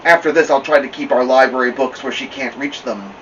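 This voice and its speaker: A man voicing an earnest, responsible schoolgirl big sister in a storybook, easily exasperated and quick to protest. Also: mid-range voice, a bit bright, distant mic, muffled recording, a little hiss or hum, close to an everyday voice. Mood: apologetic.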